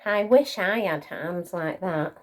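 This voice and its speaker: A woman's voice: lowish voice, slow. A woman voicing a small, eager, affectionate young male hare in a storybook, earnest and full of wonder.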